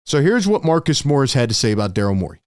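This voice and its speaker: An excitable man hosting a live sports reaction, his voice slightly hoarse from yelling yet still smooth and resonant. He talks in bursts of exclamation, recorded close and dry. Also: medium pitch, fast talker, no room sound. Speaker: sports podcast host.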